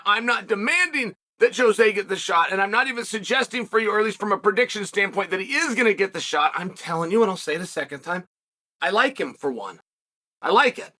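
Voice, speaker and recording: A man's voice: sits high, pushed and bright. A man hosting a podcast, giving casual fight commentary in a brash, emphatic way and repeating his points for effect. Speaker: podcast host and MMA commentator.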